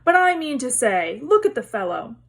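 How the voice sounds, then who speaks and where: Woman putting on a booming, jovial ghost huntsman. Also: very animated, normal speed, gravelly, exaggerated voice. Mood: mocking. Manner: roaring, jovial, mocking. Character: hearty, boisterous headless male ghost huntsman.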